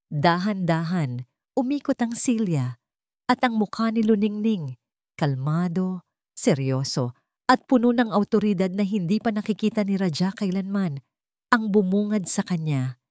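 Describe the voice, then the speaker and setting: A woman narrating a dramatic story in a steady reading voice. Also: medium pitch, unhurried, no room sound, studio quality.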